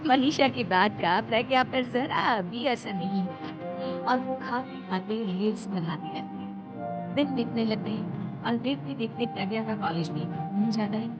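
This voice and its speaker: A woman's voice: a little high, a little slow. A woman narrating a scripted story as a storyteller. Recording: dull audio under loud music.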